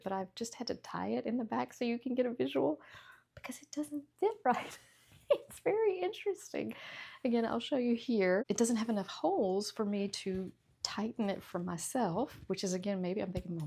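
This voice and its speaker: A fifty-something woman talks her way through a try-on haul in a chatty, self-deprecating way, laughing often. Her voice sits at a middle pitch with a noticeable gravelly fry. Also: dark tone. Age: 50s. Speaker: fashion vlogger. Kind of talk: casual try-on haul chat.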